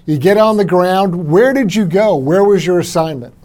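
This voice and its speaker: A man hosting an interview podcast, halting and often repeating words with fillers as he introduces and questions his guest. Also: high-pitched, animated, darkish tone. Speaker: podcast host.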